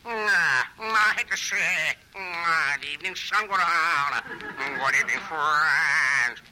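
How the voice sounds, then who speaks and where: A man's voice: fairly high pitch, takes it slowly, bright, forceful tone, full character voice. A man playing a gloomy rival newspaper editor on the telephone in 1940s radio comedy, speaking mournfully. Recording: slightly noisy old radio broadcast.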